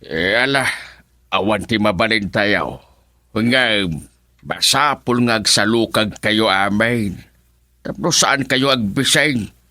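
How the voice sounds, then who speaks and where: Old rural grandfather, Ilocano accent, radio drama. Stern yet caring, deliberate, with a sharp edge. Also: lowish voice, no echo. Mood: advising. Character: elderly village father and grandfather, stern but caring.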